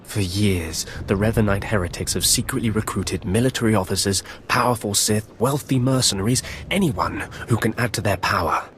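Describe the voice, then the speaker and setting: Game villain's apprentice, male actor, very low, formal and persuasive, serving a shadowy master, faint noise behind. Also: moderate pace, hardly any pauses, fairly bright tone, natural voice. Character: scheming Sith apprentice, deferential servant of shadowy master. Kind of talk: video game cutscene acting.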